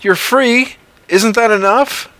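A man putting on the voice of a frightened young man who pleads desperately, pitched high and strained. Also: takes it slowly, sharp tone, no echo, heavily acted. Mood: pleading.

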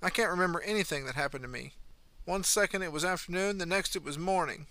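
A man's voice: high voice, fast talker, bright, forceful tone, no echo, voice pushed up, low-quality recording. A man voicing a weary young mother in a hospital bed, tense and defensive in her clipped replies. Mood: distressed.

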